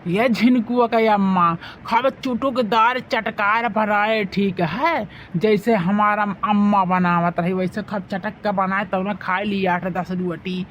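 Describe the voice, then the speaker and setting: A man's voice: high voice, fairly even intonation, unhurried, a bit bright. Man playing a henpecked Awadhi husband, dull sound. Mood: wheedling, casual. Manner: wheedling, complaining. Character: henpecked, food-loving, self-pitying village husband. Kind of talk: comedy cartoon voice acting. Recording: dull lo-fi sound, faint noise.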